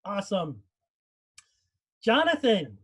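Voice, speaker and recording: A man's voice: high-pitched, wildly swooping pitch, slow pace, a bit bright, husky. A man hosting a casual group live stream over a video call. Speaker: host of a cast live stream.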